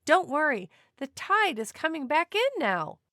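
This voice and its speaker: A woman's voice: high voice, big pitch swings, measured pace, pushed and bright, dry recording, clean studio sound, voice pushed up, exaggerated voice. A woman voicing kind, helpful beachgoers from a children's storybook, with emphatic, reassuring calls.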